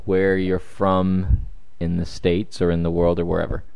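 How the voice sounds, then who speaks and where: Very deep, flat, dry-sounding interviewer. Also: male voice, measured pace, gravelly, clear, resonant tone, dry recording. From Ivory Coast. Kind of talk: interview questions.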